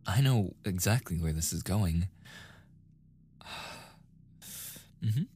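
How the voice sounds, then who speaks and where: A man playing a flustered, submissive young boyfriend in a soft-spoken ASMR roleplay, stammering and sighing, often slipping into whispers. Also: low-pitched, expressive, slow, a little hiss or hum. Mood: embarrassed, refusing. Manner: stammering, sighing, breathy asides, protesting. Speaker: audio roleplay voice performer.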